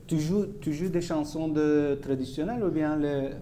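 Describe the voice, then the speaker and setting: Intervieweur amical à la voix sombre. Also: male voice, fairly high pitch, normal speed, dark tone. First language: Turkish. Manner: friendly, asks short questions. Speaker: interviewer, show host. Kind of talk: casual interview.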